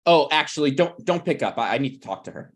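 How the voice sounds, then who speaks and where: Man reading as brazen scheming slacker, animated delivery. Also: on the high side, close to an everyday voice. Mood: blunt, self-assured. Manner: blunt, presumptuous, halting. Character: Ryan, brazen, scheming slacker screenwriter, male. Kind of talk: table read of a TV pilot script.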